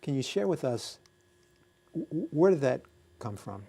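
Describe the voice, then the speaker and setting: A man's voice: fairly high pitch, very animated, moderate pace, gravelly, mellow, husky. A man working as guest host of an interview program, introducing and questioning his guest. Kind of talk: hosting a recorded interview.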